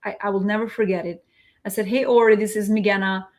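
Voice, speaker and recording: Woman from Albania, talk show host, animated storyteller, mid-pitched voice. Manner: animated, storytelling, quick to laugh. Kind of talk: casual live interview chat.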